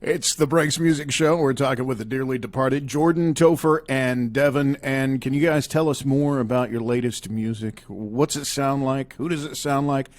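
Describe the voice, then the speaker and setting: A man's voice: mid-range voice, fairly even intonation, normal speed, hardly any pauses. A man hosting a music show, interviewing guests and introducing songs. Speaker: radio show host and interviewer.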